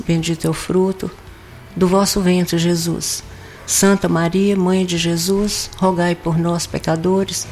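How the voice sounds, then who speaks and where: A Brazilian woman with a hoarse voice and a cough, giving a rambling spoken religious reflection full of fillers. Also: on the low side, a little hiss or hum. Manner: meandering, with many fillers and restarts. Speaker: lay speaker giving a weekly reflection.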